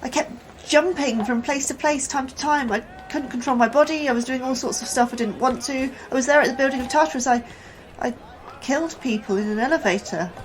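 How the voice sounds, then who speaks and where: A woman's voice: high-pitched, fairly quick, slight background noise, close to an everyday voice, rough recording. An actress playing an anxious, apologetic woman scientist in a sci-fi audio drama, hesitant and earnest. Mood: shaken, frightened. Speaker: actor in a sci-fi horror fiction podcast.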